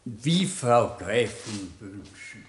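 Elderly superstitious butler, pompous, unhurried, dramatic rises and falls, vintage radio drama. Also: male voice, fairly low pitch, lively intonation, a little hiss or hum. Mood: deferential. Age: older. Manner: pompous, formal, deferential, solemn. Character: old, superstitious, dignified male servant to nobility. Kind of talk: 1960s German radio play. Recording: roomy vintage radio play recording.

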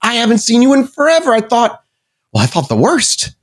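Man putting on a higher-pitched friendly woman secretary. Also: huge pitch swings, fairly quick, full character voice. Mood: relieved surprise. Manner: chatty, informal. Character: friendly, surprised woman secretary, familiar and teasing.

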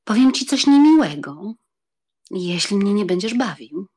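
An actress playing a teasing, pouty society countess with a flirtatious manner, in a dramatized audiobook. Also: female voice, mid-range voice, big pitch swings, measured pace, mellow, slightly rough. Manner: flirtatious, teasing, French phrases.

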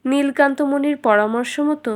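A woman's voice: high voice, moderate pace. A woman reading scripted narration as the storyteller of a children's fairytale.

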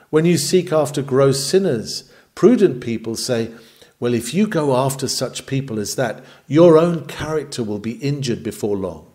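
A man's voice: mid-range voice, darkish tone. A man reading an old sermon aloud in an earnest, emphatic, oratorical manner. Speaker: reader of historical sermons. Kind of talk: dramatic read-aloud sermon.

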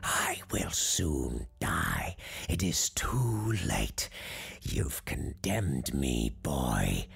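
A storyteller voicing an ancient, wronged Christmas tree spirit in a slow, breathy whisper, menacing, mournful and accusing, in a spooky story. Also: extremely low pitch, sing-song, voice pushed down, heavily acted. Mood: grave, mournful. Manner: slow, drawn-out, solemn and formal.

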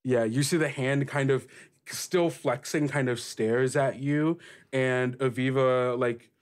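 A man improvising narration as game master of a tabletop game, describing scenes casually and haltingly. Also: mid-range voice, fairly even intonation.

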